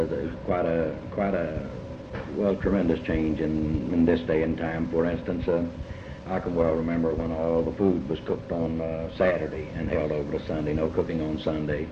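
Male writer, middle-aged, Appalachian Kentucky accent, deep and halting; interview on a roomy, noisy recording. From eastern Kentucky, United States. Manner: halting, reflective, full of pauses. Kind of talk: reminiscing in an oral interview. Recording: muffled, noisy, roomy amateur tape.

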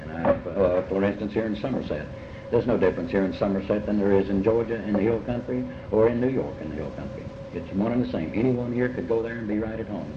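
A man with a low voice and a Kentucky accent talks as a writer being interviewed. He is deliberate and folksy, stopping and repeating himself as he thinks. The recording is muffled and echoey, with clear background noise. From Kentucky, United States. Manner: halting, deliberate, folksy, often repeating phrases. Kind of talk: reflective interview answers. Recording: muffled, noisy, echoey old tape.

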